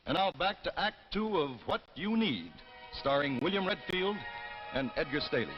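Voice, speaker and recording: A man's voice: on the high side, lively intonation, normal speed, over music. American male announcer, muffled vintage broadcast. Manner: demonstrating products to viewers. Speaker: commercial announcer. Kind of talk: 1950s television sales pitch.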